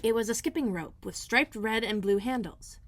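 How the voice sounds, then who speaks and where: A woman librarian reading a children's book aloud in a gentle, clear storytelling manner. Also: fairly high pitch, moderate pace, no room sound. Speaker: school librarian.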